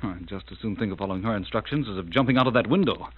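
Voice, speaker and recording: An earnest, worried young gentleman. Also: on the low side, very fast, breathy edge. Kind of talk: 1930s radio drama acting. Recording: tinny scratchy 1930s radio recording with hiss.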